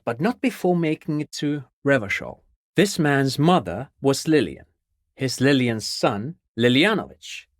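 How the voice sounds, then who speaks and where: A man's voice: medium pitch, dry recording, clean studio sound, close to an everyday voice. A man playing an eager, erudite consultant to the police in a video game, speaking in a polite, pedantic, lecturing manner. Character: eager, erudite male civilian consultant to police, digressive and excitable.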